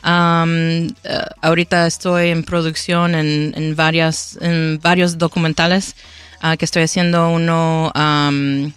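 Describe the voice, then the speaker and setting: A woman's voice: low voice, little pitch movement, slow pace, clear, resonant tone, dry recording. A woman filmmaker and educator, talking as a guest in a hesitant way, with many fillers. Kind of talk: guest interview.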